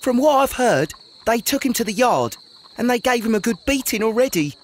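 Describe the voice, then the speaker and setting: A performer plays a Victorian London street urchin boy for a video game, earnest and anxious. The English-accented speech is informal and urgent, with big pleading swings in pitch over faint background noise. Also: animated, brisk, lightly acted. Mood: worried, pleading.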